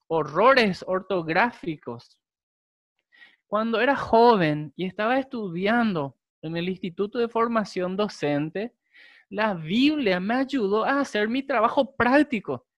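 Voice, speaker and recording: A young adult man, a Guarani speaker, preaching a sermon, with slow, emphatic repetition. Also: high voice, a bit bright, no echo. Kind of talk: sermon with prayer.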